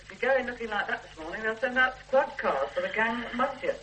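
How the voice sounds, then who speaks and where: A woman's voice: fairly high pitch, lively intonation, fast, sharp tone, rough recording. An actress playing a fond mother who teases and coaxes her hungover grown son, in a radio drama. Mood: teasing. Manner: teasing and coaxing. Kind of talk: 1970s radio drama acting. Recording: old radio recording with faint hiss.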